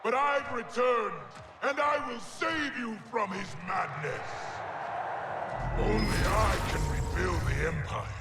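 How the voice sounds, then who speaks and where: An actor playing a boastful, tyrannical fantasy warlord in a game cutscene, gravelly, pompous and menacing. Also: male voice, high-pitched, takes it slowly, reverberant. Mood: thunderous menace. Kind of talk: video game cutscene acting. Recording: loud game music over the voice.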